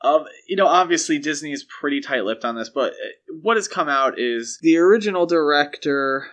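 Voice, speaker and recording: A man's voice: a little high, animated, moderate pace, a bit bright. Man casually talking films as podcast host. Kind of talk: casual film discussion.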